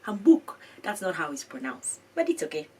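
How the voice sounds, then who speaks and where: A woman's voice: medium pitch, huge pitch swings, normal speed, darkish tone, husky, far from the mic. A woman hosting an education channel, chatting through web pages on screen, repeating her points and laughing at her own pronunciation.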